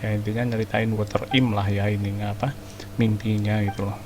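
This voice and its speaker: A man's voice: fairly low pitch, little pitch movement, fast talker. A man giving informal, slangy game playthrough commentary as a streamer. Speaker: gaming streamer. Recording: clear noise behind the voice.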